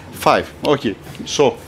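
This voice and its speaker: Greek-native man, mid-pitched voice, workshop vlog, faint hum behind, camera mic. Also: big pitch swings, drawn-out words. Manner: thinks aloud, restarts often, non-native phrasing. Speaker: mechanic filming his engine rebuild. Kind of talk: casual workshop narration. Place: indoor engine workshop. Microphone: handheld camera.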